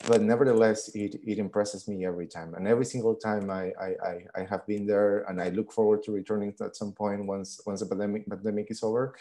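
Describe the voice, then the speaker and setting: A man's voice: lowish voice, steady tone, fast, hardly any pauses, warm tone. A man from Peru in his thirties giving an online lecture over a headset on a video call, hesitant with many fillers and restarts. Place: living room at home.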